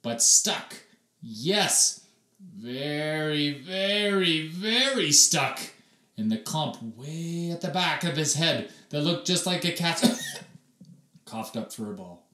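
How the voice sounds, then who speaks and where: A man narrates a children's storybook in a slow, highly animated style. He pauses deliberately and leans dramatically on key words. The room echoes and he sounds a little way from the mic. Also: recorded from a distance. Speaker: storybook reader. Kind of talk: expressive children's read-aloud narration.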